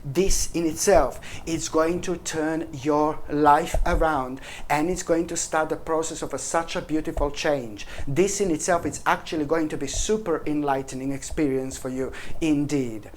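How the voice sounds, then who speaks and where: A woman's voice: deep voice, steady tone, moderate pace, few pauses, a little mellow, slight background noise. A woman giving a weekly tarot reading, effusive and repetitive, calling the listener "my gorgeous" and "baby". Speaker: tarot reader.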